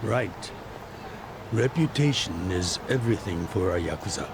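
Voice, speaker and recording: An actor voicing a calm, fatherly middle-aged yakuza boss, measured and gently admonishing, in an English-dubbed game. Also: low-pitched, creaky, noisy background, heavily acted. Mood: instructive.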